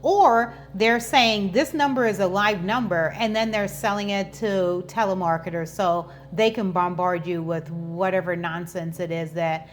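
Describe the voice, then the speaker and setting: A woman's voice: on the low side, measured pace, hardly any pauses. Woman vlogger in her fifties, faint hum. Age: 50s. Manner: conversational, hesitant, repeats words. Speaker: channel host and business owner. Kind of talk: informal explainer vlog to camera.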